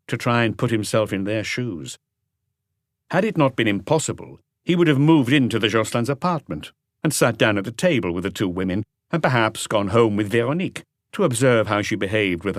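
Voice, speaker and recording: A man reading a crime novel as an audiobook, in measured, articulate scripted narration. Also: lowish voice, no echo, clean studio sound.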